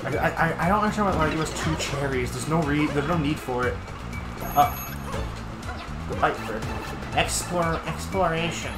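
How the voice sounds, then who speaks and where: A woman's voice: sits low, normal speed, long pauses. A young woman joking around and commenting while she plays a video game with a friend, in a playful, jokey manner with sudden outbursts. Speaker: co-host of a gaming let's play. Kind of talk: casual chat while playing. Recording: roomy echo with loud game music.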